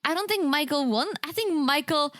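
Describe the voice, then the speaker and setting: A woman co-hosting a podcast, chatting quickly about a reality show and laughing often. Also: high voice, expressive, a bit bright, no echo, clean studio sound. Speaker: podcast co-host. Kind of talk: casual chat recapping a reality show.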